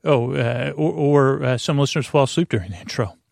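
A man hosting a bedtime podcast in a halting, stammering, meandering monologue with a gravelly, creaky voice, often losing his thread. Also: medium pitch, a little mellow, no echo, studio quality. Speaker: host of a bedtime sleep podcast. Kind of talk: rambling, meandering monologue to lull listeners to sleep.

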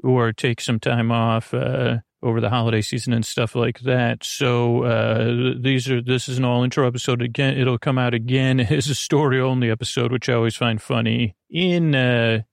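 A man from the United States hosting a bedtime podcast in a creaky voice, meandering through halting, repetitive tangents. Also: mid-range voice, no echo, clean studio sound. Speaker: podcast host. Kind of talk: rambling bedtime sleep podcast monologue.

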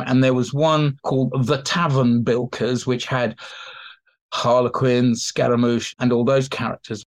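Man, mid-pitched voice, expert interview guest, informal explanations, colloquial anecdotal delivery. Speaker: expert guest, theatre historian.

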